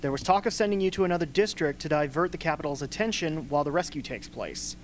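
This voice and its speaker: A man's voice: a little high, lively intonation, fast talker, close to an everyday voice. Man acting blunt rebel mentor, faint hum. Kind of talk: amateur radio play acting. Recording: faint hum and background noise.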